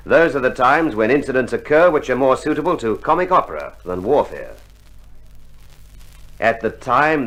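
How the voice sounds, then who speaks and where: An actor playing a war correspondent, narrating a scripted old radio drama. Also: male voice, medium pitch, natural voice, rough recording. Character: war correspondent recounting army tales with wry gravity.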